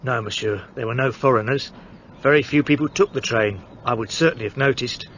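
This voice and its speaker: An actor playing a respectful railway station clerk in a radio play, speaking in a deferential way. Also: male voice, mid-range voice, a little fast, a bit bright, highs cut off, a little hiss or hum, natural voice.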